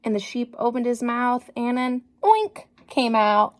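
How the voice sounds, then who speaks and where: A woman reading a children's story aloud like a teacher, animated and encouraging, pausing to put questions directly to young listeners. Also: fairly high pitch.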